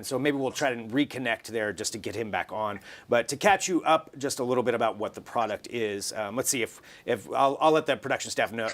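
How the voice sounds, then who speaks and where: A man's voice: mid-range voice, fairly bright tone. Man, talk show host, mid-range voice, conversational, live unscripted delivery. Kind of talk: live interview and unscripted presenting.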